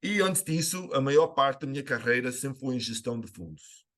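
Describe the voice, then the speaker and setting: A man's voice: mid-range voice, expressive, normal speed, a bit bright. Hesitant American man with Brazilian-tinged Portuguese. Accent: American, with a slight Brazilian tinge in Portuguese. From United States. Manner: hesitant, with many filler sounds and restarts. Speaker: guest expert. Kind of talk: conversational interview answers. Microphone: remote call link.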